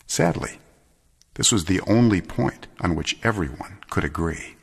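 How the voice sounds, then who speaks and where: Man, low voice, theatrical measured storytelling, long hall reverb. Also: slightly rough. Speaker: actor and storyteller narrating a radio drama. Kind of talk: dramatic scripted story narration.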